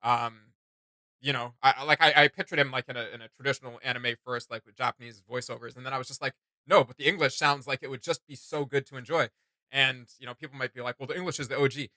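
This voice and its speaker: Bright-voiced man in effusive fan chat. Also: mid-range voice, steady tone, fast talker, no room sound. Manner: rambling, slangy, effusive. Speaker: reaction video host. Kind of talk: casual enthusiastic fan chat.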